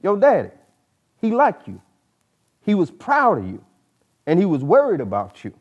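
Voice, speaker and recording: A man voicing a gruff, blunt old mentor in a folksy, plain-spoken way for a dramatic story reading. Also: high-pitched, huge pitch swings, normal speed, highs cut off, pitched above the performer's own voice, exaggerated voice. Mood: insistent.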